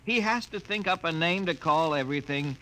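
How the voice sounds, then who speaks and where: A man's voice: a little high, animated, fairly quick, bright, forceful tone, rough recording. An actor playing a timid, browbeaten shopkeeper father in an old radio drama, mild and hesitant. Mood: timid, resigned. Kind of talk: 1950s radio drama acting. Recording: old radio recording, faint noise.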